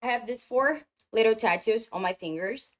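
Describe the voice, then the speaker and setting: Woman speaking halting English. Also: a little high. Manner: halting, searching for English words. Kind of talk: casual vlog talk.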